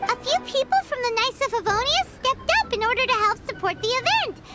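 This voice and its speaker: Squeaky, excitable little fairy companion. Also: female voice, brisk, over loud music. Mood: apologetic. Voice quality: squeaky, childlike. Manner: exclamatory, indignant chattering. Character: small childlike floating fairy companion, excitable and blunt. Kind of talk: anime game voice acting.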